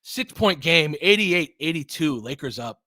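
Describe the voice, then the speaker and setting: A man's voice: a little high, lively intonation, measured pace, pushed and bright, no echo. Informal man calling basketball, dry close sound. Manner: conversational, slangy, explains tactics in detail. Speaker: play-by-play announcer and analyst. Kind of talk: live basketball commentary, informal and analytical.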